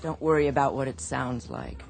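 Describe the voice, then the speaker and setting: Creaky-voiced reassuring Navy doctor. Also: male voice, mid-range voice, expressive, normal speed, a little hiss or hum. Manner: reassuring, coaxing. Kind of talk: radio theatre acting.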